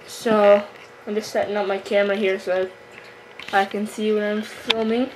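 A young woman from Canada giving a casual, halting gear tour as a fishing vlogger, recorded at home on a camera microphone. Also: medium pitch, flat delivery. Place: room at home with wooden floor. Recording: noisy amateur camera recording, handling clicks.

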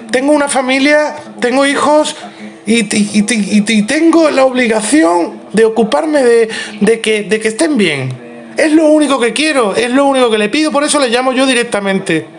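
A man playing a prissy, indignant neighbour on a prank call, halting and stiffly formal. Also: very high voice. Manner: halting, repetitive, stilted formality. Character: prissy, indignant, fussy neighbour complaining by phone. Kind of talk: improvised prank phone call.

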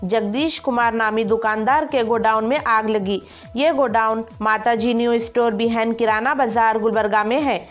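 A woman reads a local news report as a voice-over, her delivery formal and evenly scripted. Her voice sits a little high, with faint music playing beneath it. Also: normal speed. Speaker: news narrator.

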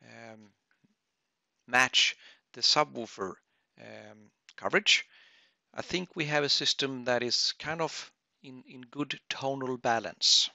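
Halting man, slow and bright-voiced. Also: medium pitch, no room sound. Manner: halting, thinking aloud, frequent throat clearing. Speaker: webinar presenter. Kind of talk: unscripted software tutorial.

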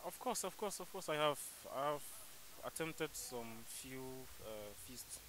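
A young man from Cameroon answering street interview questions outdoors, pausing and repeating words as he searches for them. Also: a little high, animated, a bit bright, a little hiss or hum, low-quality recording. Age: young adult. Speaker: interviewee, foreign student. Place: outdoors on a campus walkway. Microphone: handheld microphone held by interviewer.

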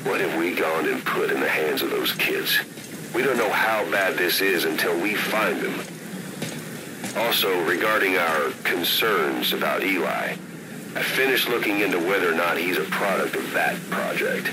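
An actor playing a gruff military second-in-command in a game, giving grave, clipped briefings over the radio. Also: male voice, deep voice, expressive, normal speed, background noise. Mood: concerned, businesslike.

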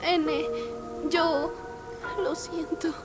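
A moody, awkward teen robot girl from a cartoon. Her voice is extremely high, with a slow, self-conscious delivery. Loud music plays over her. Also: level tone, full character voice. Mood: remorseful. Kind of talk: animated fandub acting.

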